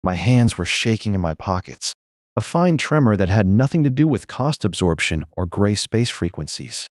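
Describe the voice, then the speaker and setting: A man's voice: on the low side, big pitch swings, moderate pace, a little mellow, no room sound, clean studio sound. A man reading scripted first-person noir narration as the story's narrator.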